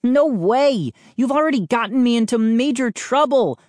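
A woman's voice: fairly high pitch, expressive, moderate pace, a little mellow, no echo, clean studio sound, pitched above the performer's own voice, natural voice. Woman voicing an urgent, angry boy. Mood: exasperated. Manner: demanding, urgent exclaiming. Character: anxious, angry boy hero of a children's story. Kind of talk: audiobook character voice.

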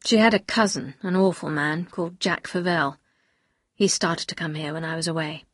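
Lady doing a bitter anguished husband for an audiobook, low n husky, grave, like he's confessing. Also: fairly even intonation, moderate pace, fairly bright tone, dry recording, studio quality. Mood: grave confession. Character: bitter, anguished upper-class husband confessing dark secrets. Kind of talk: audiobook character dialogue.

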